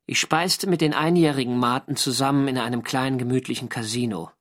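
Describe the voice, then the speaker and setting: An actor as a measured wartime sailor diarist. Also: male voice, mid-range voice, lightly acted. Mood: wry, reflective. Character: young navy sailor narrating his wartime diary, earnest and wry. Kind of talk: scripted first-person radio drama narration.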